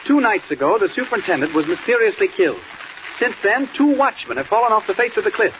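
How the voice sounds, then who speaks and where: An actor playing an earnest, insistent young newspaper reporter in a 1940s radio serial. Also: male voice, high voice, very animated, quick pace. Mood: urgent pleading. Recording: noisy, dull old radio transcription.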